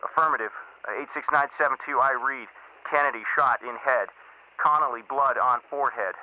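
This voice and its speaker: An actor playing a brisk, formal military radio operator in an audio drama, clipped and procedural, through a radio filter. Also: male voice, mid-range voice. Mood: brisk, businesslike. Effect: two-way radio filter. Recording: tinny, noisy two-way radio link.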